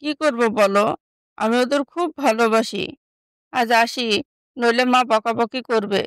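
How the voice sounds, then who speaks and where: A woman acts out a soft-hearted, downtrodden young stepsister from an animated moral story. Her voice is high and smooth, recorded dry and close. She speaks submissively, as if always pleading to be accepted. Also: measured pace, no echo, close to an everyday voice. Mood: sad, submissive.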